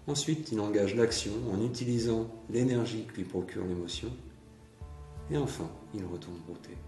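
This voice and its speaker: A man giving a measured scripted narration. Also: low voice, a little mellow. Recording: roomy and distant over music.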